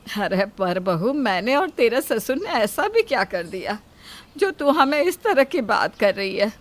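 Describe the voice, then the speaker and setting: A woman's voice: a little high, big pitch swings, a little slow. A woman voicing a long-suffering, pleading old mother-in-law in a family story. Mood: meek, apologetic. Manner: meek, pleading.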